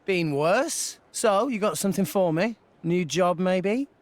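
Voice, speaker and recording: An actor voicing an eager, energetic young salvager. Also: male voice, sits high, a little slow, no echo. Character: cheerful young male salvager. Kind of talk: video game voice acting.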